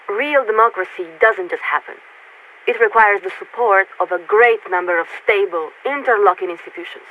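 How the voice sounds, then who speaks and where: An actress playing a polite, aloof military signaler in a video game, formal, diplomatic and evasive over a radio filter. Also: female voice, medium pitch. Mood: formal, measured. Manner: formal, measured, diplomatic, speaks as "we". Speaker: warship signaler. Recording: tinny narrowband radio with static.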